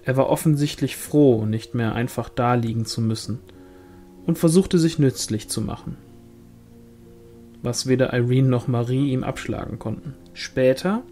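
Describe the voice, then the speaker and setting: A man's voice: medium pitch, moderate pace, dark tone, soft background music. A man reading a fantasy novel aloud as literary audiobook narration. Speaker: audiobook reader.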